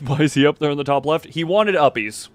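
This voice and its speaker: A man's voice: on the high side, expressive, a little fast. A man casually commentating a live game stream, rambling and thinking aloud, often stumbling on the starts of his words. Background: game music.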